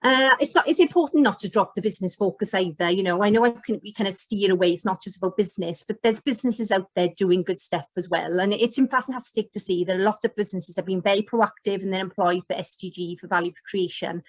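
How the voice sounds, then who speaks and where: A woman's voice: mid-range voice, quick pace, few pauses, no echo. A middle-aged woman with a Welsh accent presenting an online teacher course over slides on a video call, enthusiastic and loose, with many fillers and restarts. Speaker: educator and presenter. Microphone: webcam on video call.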